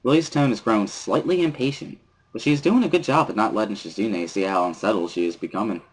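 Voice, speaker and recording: A man reading first-person story narration from a visual novel as a dramatic reading, with a husky voice. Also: on the low side, fast talker, poor audio.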